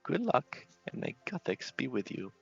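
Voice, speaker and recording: Elderly fortune-teller played by a man, portentous and theatrical, gravelly edge, lively swooping pitch, game character. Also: normal speed, lo-fi sound, soft background music, full character voice. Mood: encouraging.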